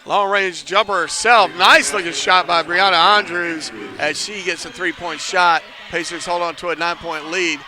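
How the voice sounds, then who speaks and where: A man calls a basketball game live from inside an arena with a high, ringing, very bright voice. He keeps up a chatty stream of play-by-play, cracks jokes about himself, and pushes up to near shouts when a shot drops. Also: steady tone, normal speed, hardly any pauses. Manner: lifts to near shouts on big plays. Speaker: play-by-play commentator.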